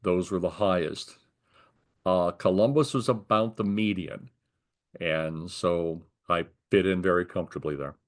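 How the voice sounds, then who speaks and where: An older man with an American accent speaks in a fairly low voice. He recounts things conversationally, as an interviewee would, and explains them in detail. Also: measured pace. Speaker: retired air traffic controller being interviewed.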